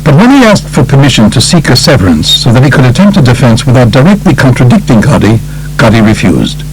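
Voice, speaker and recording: An older man with a gravelly voice reads a true crime book as a scripted audiobook narrator. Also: mid-range voice, sing-song, fast, a little hiss or hum, poor audio.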